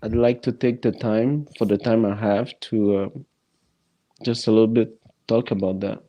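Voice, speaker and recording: A man who speaks English as a non-native language, hosting a casual podcast chat and talking haltingly with many restarts. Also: on the low side, fairly even intonation, muffled recording. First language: neither English nor French.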